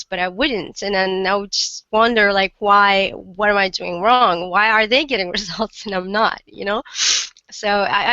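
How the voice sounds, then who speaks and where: A woman in her thirties from Morocco, a guest in a casual interview, who is sick and sounds rough, chatting with lots of fillers and restarts. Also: mid-range voice, sharp tone, no room sound, muffled recording. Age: 30s.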